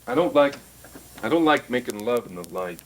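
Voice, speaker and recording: An actor playing an earnest man in his forties, coaxing and animated as he talks. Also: mid-range voice, moderate pace, natural voice, rough recording. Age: 40s.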